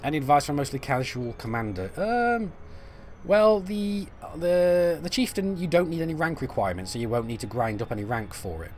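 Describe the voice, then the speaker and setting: A man's voice: fairly high pitch, animated, brisk, a little mellow, slight background noise. A middle-aged man, chatting casually on a live stream while he plays, reading and answering viewer messages with lots of fillers and restarts. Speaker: game streamer.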